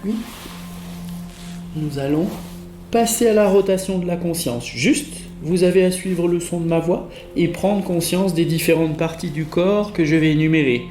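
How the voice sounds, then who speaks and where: A man leading a guided relaxation as a yoga teacher, giving soothing, measured instructions with pauses. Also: on the high side, a little mellow. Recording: roomy echo with music behind. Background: relaxation music.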